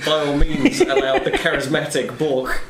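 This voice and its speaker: A man's voice: on the high side, fairly even intonation, moderate pace, a loud crowd. A man talking casually as a player in a tabletop game. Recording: echoey room, faint noise.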